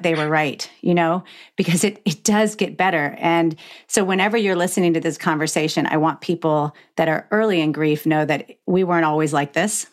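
A middle-aged woman hosting a candid conversational interview, speaking frankly and emphatically with the odd mild swear. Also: deep voice. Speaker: podcast host.

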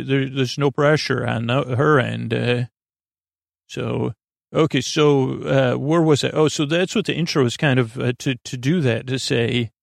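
A man with a mid-pitched voice full of vocal fry hosts a soothing sleep podcast. He wanders off topic, halts and restarts sentences, and sounds friendly and a bit goofy. The recording is close and dry, with very little room sound. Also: dry recording. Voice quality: creaky. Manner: meandering, tangential, halting, trailing off mid-sentence. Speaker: podcast host.

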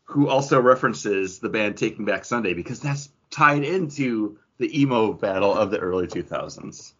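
A man's voice: lowish voice, animated, moderate pace, breathy edge, dull audio. A man chatting casually and jokingly as a podcast host. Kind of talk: casual banter on a comics podcast.

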